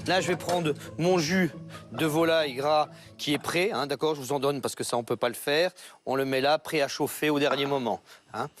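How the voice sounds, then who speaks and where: Instructive male chef, slightly high voice. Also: fairly even intonation, moderate pace. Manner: instructive, checking in with short tags. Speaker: chef demonstrating a recipe. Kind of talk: cooking demonstration. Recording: music behind the voice. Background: background music.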